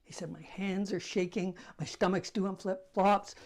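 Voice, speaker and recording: An older man with a soft voice, hosting a casual talk to camera, telling stories in a conversational, self-deprecating way. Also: sits high, steady tone, unhurried. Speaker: host.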